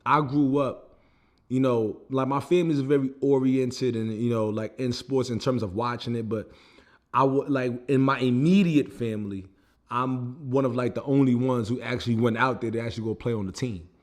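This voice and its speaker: A man's voice: mid-range voice, steady tone, moderate pace. A young American man vlogging about his own setbacks in a loose, casual way, with lots of "you know what I mean" and "like". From United States. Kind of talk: casual personal storytelling.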